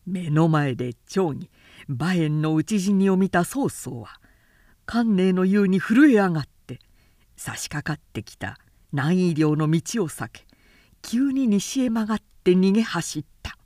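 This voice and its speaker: Expressive female storyteller with swooping pitch. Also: measured pace, no room sound, clean studio sound. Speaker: professional narrator. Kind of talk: dramatic literary audiobook narration.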